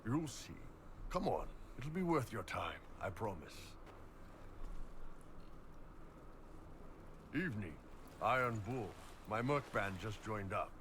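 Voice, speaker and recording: An actor playing a massive, easygoing mercenary captain in a fantasy video game, blunt and casual. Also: male voice, on the low side, very animated, moderate pace, a bit bright. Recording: game audio with clearly audible background noise.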